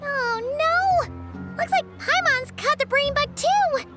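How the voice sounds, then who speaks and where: A woman voicing a small, excitable, childlike fairy companion in a video game, squeaky and exclamatory. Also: takes it slowly, faint music behind, full character voice. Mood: flustered, excited. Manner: refers to self in third person, exclamatory. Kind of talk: video game character voice acting.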